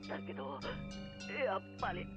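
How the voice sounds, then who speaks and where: A man voicing a panicked teenage boy on a payphone, speaking in halting, breathless bursts, in game voice acting. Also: high-pitched, animated, loud music behind the voice. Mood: shaken.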